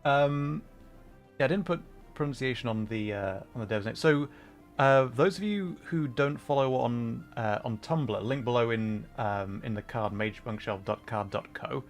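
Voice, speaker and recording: A man hosting a gaming livestream, chatting casually and musing aloud in a halting way. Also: mid-range voice, faint music behind. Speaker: livestream host.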